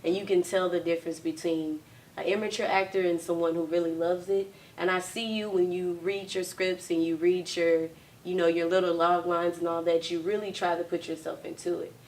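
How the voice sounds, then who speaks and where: A woman interviewing an actor in a relaxed way, often affirming with "mm-hmm". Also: on the low side, a little fast. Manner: friendly, affirming with frequent "mm-hmm". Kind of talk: casual interview. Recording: distant mic.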